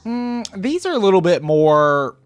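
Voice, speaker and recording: A man's voice: very animated, measured pace, clear, resonant tone, no room sound. Young man, dry close-mic reaction commentary. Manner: informal, slangy, brief low-key remarks. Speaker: reaction video host.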